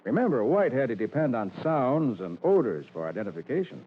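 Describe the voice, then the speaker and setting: An actor playing a confident, methodical private detective in an old radio drama, explaining with assurance. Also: male voice, mid-range voice, big pitch swings, fairly quick, slightly rough. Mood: assured, explanatory. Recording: dull, noisy old radio recording.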